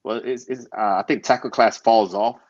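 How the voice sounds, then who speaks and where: A man's voice: on the low side, steady tone, moderate pace, muffled recording. A man co-hosting a draft show, chatting casually and thinking aloud as he makes his picks. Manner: laid-back, hesitant, thinking aloud. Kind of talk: casual mock draft chat.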